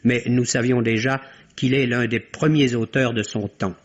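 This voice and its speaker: A man's voice: mid-range voice, fairly even intonation, normal speed. Formal male film critic, dull vintage recording. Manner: literary, formal phrasing. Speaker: film critic on a radio mystery programme. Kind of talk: scripted film review commentary. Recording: dull, lo-fi vintage French radio.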